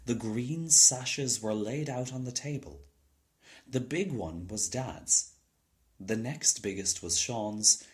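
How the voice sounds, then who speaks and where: A man's voice: mid-range voice, slow. A man narrating a children's storybook as a scripted reading. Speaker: storybook reader.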